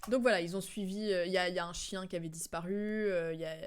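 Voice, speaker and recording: A woman's voice: on the low side, fairly even intonation, fairly quick, clean tone. A woman streamer giving casual live commentary on game cutscenes, recapping in a hesitant, rambling, amused way.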